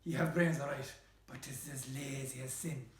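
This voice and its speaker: A man's voice: mid-range voice, fairly even intonation, normal speed, reverberant, far from the mic, voice pushed down, close to an everyday voice. A man voicing a fuming, scornful schoolmaster Brother from a short story, scolding in a west of Ireland accent. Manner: scolding, haranguing.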